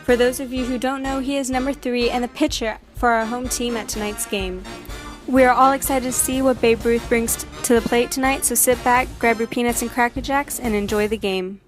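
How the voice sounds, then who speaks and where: Higher-voiced woman news presenter over music. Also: steady tone, a little fast. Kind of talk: scripted broadcast introduction set in the 1920s.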